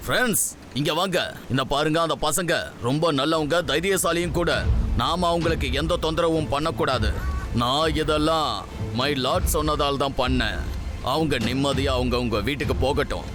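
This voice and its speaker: A man's voice: fairly high pitch, moderate pace, over music, natural voice. A man playing a scheming cartoon royal minister who talks in a falsely friendly, persuasive way. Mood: falsely reassuring. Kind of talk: Tamil cartoon dub acting.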